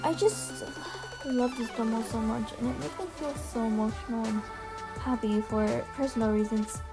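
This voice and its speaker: High-pitched woman gushing in casual commentary. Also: moderate pace. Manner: gushing, emphatic, casual swearing. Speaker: video creator presenting a ranking. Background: music under the voice.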